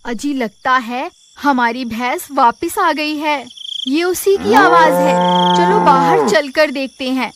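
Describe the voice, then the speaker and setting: Spiteful village wife, acted by a woman: fairly high voice, exclaiming, cartoon story delivery. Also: takes it slowly, very noisy. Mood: alert.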